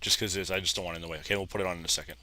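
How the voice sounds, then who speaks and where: Slightly hoarse man walking through charts. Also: low voice, steady tone, very fast, pushed and bright. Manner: casual, repeats "guys" and "like I said". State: slightly hoarse, losing his voice. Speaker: trading educator. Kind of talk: live trading screen commentary.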